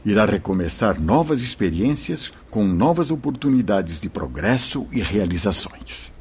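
A man's voice: on the low side, very animated, normal speed, over music. A man playing a kindly spiritual guide who tells a story in an oratorical way, in a radio drama. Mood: benevolent, instructive.

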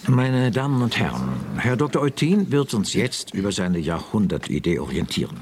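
A man's voice: lowish voice, very animated, normal speed, a little mellow. An actor playing a formal, condescending male executive in a radio drama.

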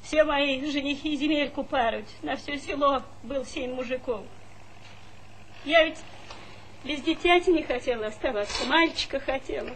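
Casting: brash rural mother, high piercing voice, folksy wheedling, stage comedy, hissy old recording. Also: normal speed, fairly bright tone. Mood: lamenting. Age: middle-aged. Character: loud, plaintive village mother, brash and pleading. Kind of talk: theatrical comedy stage acting. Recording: noisy 1970s stage play recording.

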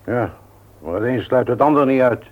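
Actor as stubborn old uncle, tinny radio drama. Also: male voice, mid-range voice, natural voice. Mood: stubborn, formal. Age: older. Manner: formal, measured, unyielding. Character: stubborn widowed uncle in his seventies, shrewd business owner. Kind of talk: radio play acting. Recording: tinny, narrow-band old radio recording.

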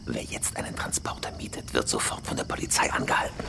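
An actor playing a terse, cool, calculating career criminal in a radio crime drama, speaking in a laconic, flat, understated way. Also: male voice, fast talker, whispered, lightly acted. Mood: hushed, guarded.

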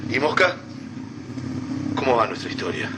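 An actor playing a troubled, haunted army lieutenant in a radio drama. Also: male voice, mid-range voice, big pitch swings, normal speed, natural voice. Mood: weary. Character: troubled, haunted male lieutenant in alien invasion. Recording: very noisy radio drama recording.

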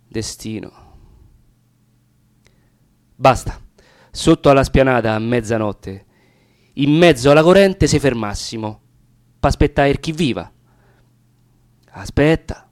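A man with a Roman accent reciting narrative dialect verse theatrically, with deliberate, expressive pauses. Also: mid-range voice, smooth. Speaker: actor reading poetry.

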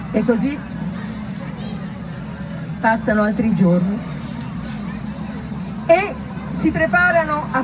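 A woman's voice: fairly high pitch, background noise. A woman performing a dramatic theatrical monologue, telling a story emphatically in short, broken phrases.

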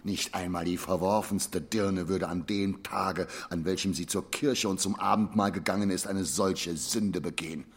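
A man's voice: deep voice, steady tone, moderate pace, hardly any pauses, slightly rough. An actor playing an indignant, pious, bigoted small-town father in a radio play, pompous and formal. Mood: outraged, scornful. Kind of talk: German radio drama acting.

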